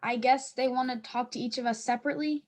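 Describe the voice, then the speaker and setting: A woman plays an anxious schoolgirl in a stage play reading. The voice sits very high. The delivery is fretful and theatrical, treating trouble as a disaster. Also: fairly even intonation, quick pace, close to an everyday voice. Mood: anxious, panicky. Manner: fretful, dramatic. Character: anxious, dramatic schoolgirl dreading punishment.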